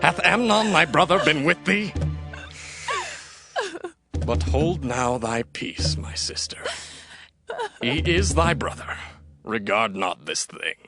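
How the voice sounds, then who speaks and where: High, swooping young-sounding prince. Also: male voice, very animated, slow pace, pauses often, over music, exaggerated voice. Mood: grave, consoling. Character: Absalom, vengeful prince, brother to Tamar, smoothly pleading and commanding. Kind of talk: dramatized scripture reading with archaic English.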